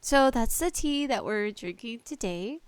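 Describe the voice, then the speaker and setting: Female solo podcast host, casual and shy with nerves, unhurried halting delivery, very dry close sound, mild allergy trouble. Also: a little high, expressive, smooth, dry recording. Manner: nervous, apologetic, halting. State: allergies acting up. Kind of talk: casual solo podcast chat about games and tea.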